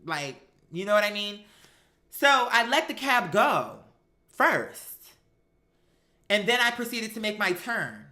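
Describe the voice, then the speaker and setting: Female storyteller, mid-pitched and bright, sassy and profane, emphatic delivery, echoey live room. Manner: sassy, emphatic, acts out quoted dialogue; profane, slangy. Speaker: storyteller recounting a personal experience. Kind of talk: animated personal storytelling.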